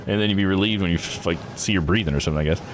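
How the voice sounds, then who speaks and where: A man's voice: sits low, racing, a little hiss or hum. A man streaming a visual novel with casual, slangy commentary, musing aloud.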